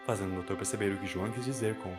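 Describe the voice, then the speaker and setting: A Brazilian-accented man tells a story as its narrator. His voice sits fairly low and the reading is scripted and dramatic. Music is clearly audible behind him. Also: fairly even intonation, rapid-fire.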